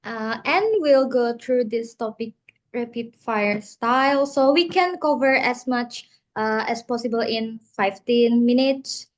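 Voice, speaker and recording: Slow-talking young woman co-hosting a podcast. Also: a little high. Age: young adult. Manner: upbeat but halting, many uhs. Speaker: co-host.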